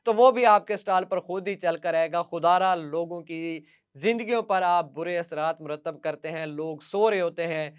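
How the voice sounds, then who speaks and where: A man's voice: fairly high pitch, moderate pace, clean tone. A man with a Pakistani accent presenting news commentary straight to viewers, with emphatic, repetitive listing.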